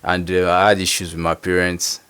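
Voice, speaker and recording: A young adult man from Nigeria, a guest artist talking casually in an interview, halting and full of fillers. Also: low voice, little pitch movement, fairly bright tone. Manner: halting, searching, many fillers.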